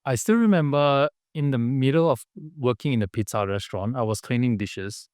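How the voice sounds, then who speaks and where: A man from Malaysia talks through his story as a podcast guest, earnest and unhurried, often pausing on fillers and starting sentences over. His voice is smooth and clear, at an ordinary middle pitch. It is recorded dry and close, with no room sound. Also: a little mellow, no room sound. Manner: earnest, halting, with many fillers and restarts. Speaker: podcast guest, business founder. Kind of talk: casual interview storytelling.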